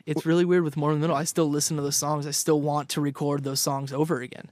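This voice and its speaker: A young man with a somewhat high voice talks loosely in an interview, tripping over and repeating his words as he goes. The sound is dry and close, with no room around it. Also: flat delivery, fast talker, a little mellow, no room sound. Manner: rambling, repeats words, laughs often. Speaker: guest musician. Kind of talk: casual interview chat.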